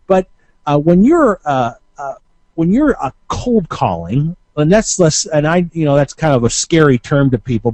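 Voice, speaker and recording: Male coach, mid-pitched and mellow, explaining informally with a halting, self-correcting delivery; dry recording. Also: dry recording. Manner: halting, conversational, with frequent hesitations and self-corrections. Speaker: marketing coach and Q&A host. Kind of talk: informal instructional talk.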